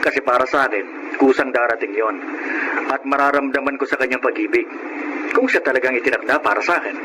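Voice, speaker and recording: An actor playing a kind, humble, middle-aged Filipino taxi driver and eldest brother, polite and self-effacing, in radio drama style. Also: male voice, medium pitch, a little fast, natural voice. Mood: calm, resigned. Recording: noisy radio drama with background ambience.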